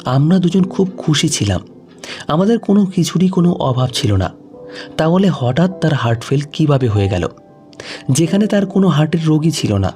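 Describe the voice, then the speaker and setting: A man's voice: a little high, sing-song, moderate pace, warm tone, faint music behind. A man voicing a distraught, insistent young woman grieving her lover in an audio story. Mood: grieving, disbelieving.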